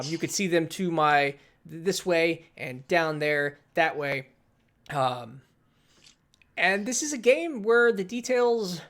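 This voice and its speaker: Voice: mid-range voice, a bit bright. A dungeon master hosting a casual tabletop game stream, joking and self-deprecating, often stammering and restarting. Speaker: dungeon master and show host.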